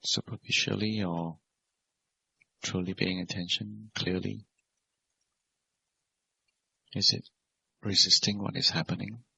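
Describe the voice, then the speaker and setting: A man leads a guided meditation in a low, very husky voice with a gravelly creak. His phrasing is measured and simple, with gentle questions to the meditator. The recording is a little dull and lo-fi. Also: fairly even intonation. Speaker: Buddhist monk and meditation teacher.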